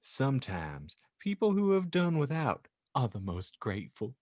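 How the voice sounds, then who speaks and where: A man's voice: mid-range voice, huge pitch swings, measured pace, a little mellow, dry recording, studio quality. A man hosting a podcast, narrating fan fiction in a playfully exaggerated, mock-pious way and laughing often.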